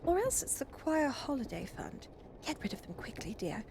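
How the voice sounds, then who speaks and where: A woman voicing a gentle but firm, genteel mother in a storybook reading. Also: fairly high pitch, sing-song, moderate pace, creaky, noisy background, voice pushed up. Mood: mildly impatient. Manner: soft, genteel, controlled.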